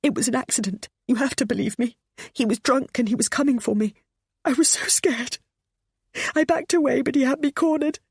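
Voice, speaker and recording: A woman voicing a frightened, tearful middle-aged mother who speaks in a high, breaking voice. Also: brisk, darkish tone, breathy edge, no echo, studio quality. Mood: distraught.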